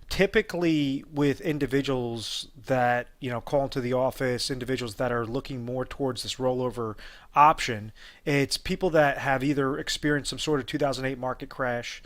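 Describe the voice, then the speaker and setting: A man's voice: mid-range voice, fairly bright tone. A man working as a retirement advisor who explains rollovers in an unscripted way, with frequent fillers and restarts. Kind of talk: explainer video talk.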